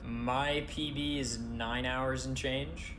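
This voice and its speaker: A man's voice: medium pitch, fairly even intonation, slow pace, noisy background. A man hosting a speedrunning stream, chatting casually with a guest and answering questions from chat. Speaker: show host.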